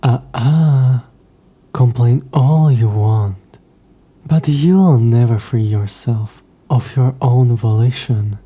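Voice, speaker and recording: A man voicing a smug, coy, centuries-old demon in an audio roleplay, speaking in a hushed, breathy voice with haughty, old-fashioned, taunting phrasing. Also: mid-range voice, takes it slowly. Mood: smug, amused. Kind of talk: scripted audio roleplay addressed to the listener.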